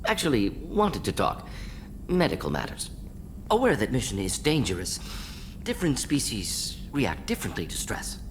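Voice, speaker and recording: A man voicing a brisk, eccentric alien scientist-doctor in a video game, speaking in clipped, deadpan clinical phrases with dry amusement. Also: medium pitch, slight background noise. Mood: clinical, matter-of-fact.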